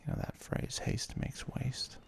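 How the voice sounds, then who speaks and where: A man's voice: measured pace, a little hiss or hum. A man in his thirties whispers ASMR video game commentary in a hesitant, self-deprecating, rambling way. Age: 30s. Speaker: ASMR let's play host. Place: apartment.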